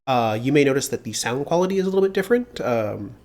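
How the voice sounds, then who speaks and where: A man with a slightly congested voice talks his way through work on a live stream. He speaks casually at a middle pitch, pausing often with fillers as he thinks aloud into a mounted desk mic. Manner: thinking aloud, relaxed, many filler pauses. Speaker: livestreamer doing security research. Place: home desk with mechanical keyboard. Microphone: mounted desk studio mic.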